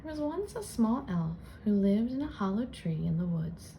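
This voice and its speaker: A woman reading a children's storybook aloud in an expressive storytelling style, as host. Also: medium pitch, normal speed, slight background noise. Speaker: children's book reader and channel host.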